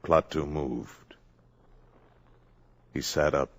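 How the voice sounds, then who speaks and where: A man narrating a 1950s radio drama in a grave, portentous and deliberate way. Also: extremely low pitch, steady tone. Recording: old radio broadcast, loud music behind.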